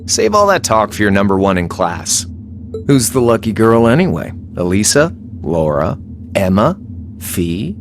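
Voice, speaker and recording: A man's voice: on the low side, big pitch swings, measured pace, soft background music. An actor playing a cocky, laid-back young male rebel in a video game, teasing and flippant in a casual way. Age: young adult.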